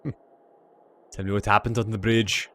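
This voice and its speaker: A man's voice: on the low side, flat delivery, moderate pace, a bit bright. A man doing a let's play, reading fantasy game story text aloud and adding casual commentary. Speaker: let's player.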